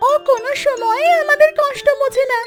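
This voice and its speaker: A protective cartoon mother duck, pitched extremely high. She speaks in a fretful, admonishing way, worried for her young. Music runs clearly behind the voice. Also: steady tone, a little fast. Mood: scolding.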